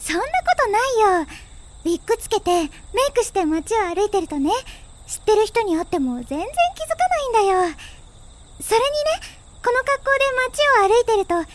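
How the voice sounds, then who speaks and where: A woman's voice: extremely high pitch, big pitch swings, fairly quick, background noise. A woman playing a pretty, self-absorbed teenage schoolgirl in a Japanese audio drama, speaking in a coy, teasing, sweetly girlish way. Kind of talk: Japanese anime-style audio drama acting. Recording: background music under the voice.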